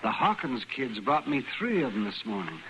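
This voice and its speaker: An actor playing a crotchety, absorbed older country doctor in a radio western, muttering and hemming with folksy exclamations. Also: male voice, mid-range voice, big pitch swings, normal speed, a bit bright. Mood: enthusiastic. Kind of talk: old-time radio acting. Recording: noisy old radio recording.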